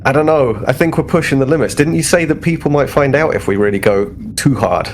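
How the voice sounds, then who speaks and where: A man at a game table acts out a cocky, athletic starship crewman in a sci-fi role-playing game. He speaks informally and boastfully in an average-pitched male voice. There is clear noise behind him. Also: fast talker, close to an everyday voice. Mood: reluctant.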